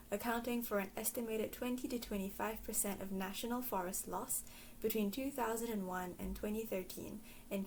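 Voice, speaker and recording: A woman from Singapore giving a scripted explanatory talk in a measured way. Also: medium pitch, a little mellow, slight background noise, low-quality recording. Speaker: presenter. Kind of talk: scripted educational narration.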